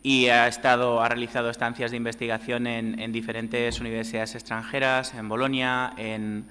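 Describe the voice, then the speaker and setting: A man's voice: medium pitch, flat delivery, moderate pace, pushed and bright, slight background noise. A man moderating an academic seminar, giving a formal introduction with frequent fillers and restarts. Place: lecture hall. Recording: long hall reverb.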